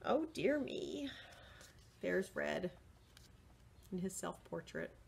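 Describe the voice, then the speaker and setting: A middle-aged woman reading a picture book aloud to young children, expressive and deliberate, with short asides to the listeners. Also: lowish voice, creaky, slightly rough. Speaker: children's library storyteller. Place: indoors, small room with bookshelves.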